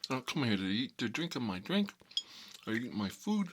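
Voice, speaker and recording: A man playing an exasperated mob boss in a comedy monologue, rambling in tough-guy slang and repeating himself, with a husky voice. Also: medium pitch, sing-song, a little fast, background noise, natural voice. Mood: indignant storytelling. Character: exasperated mob boss telling family stories. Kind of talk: rambling comedic storytelling in character, between whispers and outbursts.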